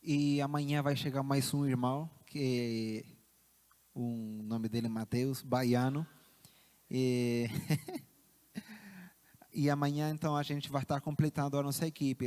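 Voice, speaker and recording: A man from Chile with a Chilean accent, a missionary giving an earnest church address, often halting and repeating words. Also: medium pitch, level tone, dark tone. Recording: long hall reverb.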